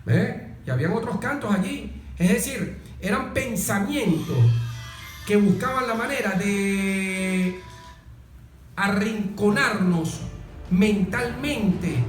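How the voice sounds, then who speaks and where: A man's voice: sits high, echoey room. A middle-aged Venezuelan man delivering a patriotic political monologue on a talk show, emphatic and oratorical, using repetition and rhetorical questions. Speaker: radio host and former soldier. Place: radio studio.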